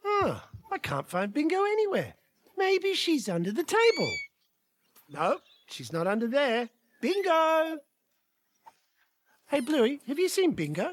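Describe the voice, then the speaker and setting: Animated Australian cartoon dad. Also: male voice, very high voice, unhurried, long pauses, background noise, exaggerated voice. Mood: mock suspicion. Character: playful, mock-suspicious cartoon dad telling comic bedtime story. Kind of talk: animated, colloquial comic storytelling.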